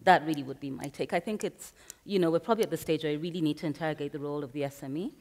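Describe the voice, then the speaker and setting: A woman's voice: sits low, racing, darkish tone. A woman from Kenya speaking as a panelist at a conference, earnest and emphatic, thinking aloud. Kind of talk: panel discussion. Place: conference hall. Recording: reverberant conference hall.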